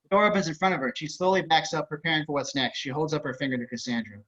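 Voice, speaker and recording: A man with a fairly high, bright voice reads out stage directions in scripted narration, casual and wry. The audio is slightly dull, like a video call. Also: very fast. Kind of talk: scripted narration at an online table read.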